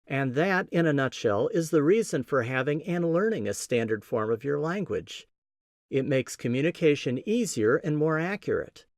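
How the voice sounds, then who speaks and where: A man with a Midwestern American accent hosting a podcast, giving a scripted teaching talk in a measured, explanatory way with emphatic stresses. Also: mid-range voice, dry recording, clean studio sound. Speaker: podcast host.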